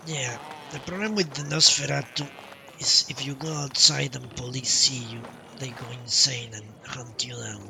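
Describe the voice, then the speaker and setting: A man's voice: mid-range voice, normal speed, bright, forceful tone, slight background noise. A man live streaming a game, casually thinking aloud and muttering to himself as he reads menus. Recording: clear game music behind voice. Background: video game music.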